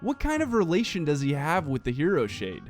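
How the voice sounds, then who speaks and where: Man, scripted explainer narration, animated intonation, slightly high voice. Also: a little fast. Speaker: narrator of a video game theory video. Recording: music playing behind the voice. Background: background music.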